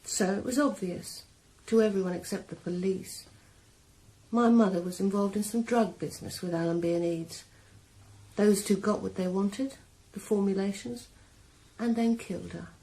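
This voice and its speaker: An actress playing a young woman killer calmly confessing her revenge in a 1980s radio drama. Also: medium pitch, lightly acted, poor audio. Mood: cold calm. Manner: calm, measured, quietly cold. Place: sauna.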